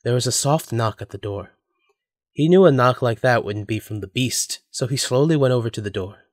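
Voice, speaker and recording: A man's voice: medium pitch, fairly quick, no echo, clean studio sound. A man reading scripted story narration as the narrator of an audio drama.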